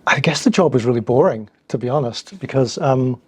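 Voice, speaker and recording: A middle-aged man from Scotland with a Scottish accent, answering interview questions in a relaxed way and often repeating words as he thinks. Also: mid-range voice, fairly quick, slightly rough, no room sound. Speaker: guest, agency founder.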